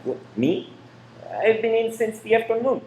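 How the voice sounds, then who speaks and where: A man's voice: sits high, sing-song, moderate pace, dark tone. A man acting an evasive, grumbling husband and cinema owner in an amateur radio play, defensive in manner. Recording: echoey room with faint noise.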